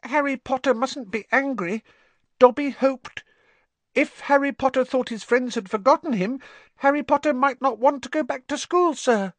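A man's voice: moderate pace, fairly bright tone, no room sound. A man voicing a small anxious male house-elf who pleads and speaks of himself in the third person. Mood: pleading. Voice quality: very high, squeaky.

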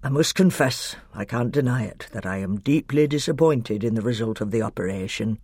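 A reader voicing an old male scholar who speaks in a measured, formal way. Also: mid-range voice, animated, darkish tone, slightly rough, dry recording, studio quality. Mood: regretful.

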